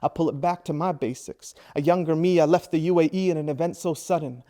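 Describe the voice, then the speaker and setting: A man's voice: on the high side, quick pace, warm tone. A man in his thirties from Iraq, introducing himself and then performing his own spoken word poem with a rhythmic, rhyming delivery. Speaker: presenter and spoken word poet.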